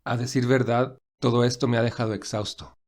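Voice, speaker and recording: Reserved young millionaire, played by a man, measured. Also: medium pitch, fairly even intonation, a little mellow, lightly acted. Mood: weary. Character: William, reserved young millionaire, weary and principled. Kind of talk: audio story dialogue.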